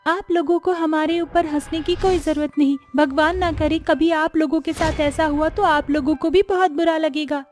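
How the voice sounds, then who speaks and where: A woman voicing a young, bald daughter-in-law who complains in a high, pleading voice. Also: steady tone, moderate pace, few pauses, darkish tone, faint music behind, close to an everyday voice. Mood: hurt.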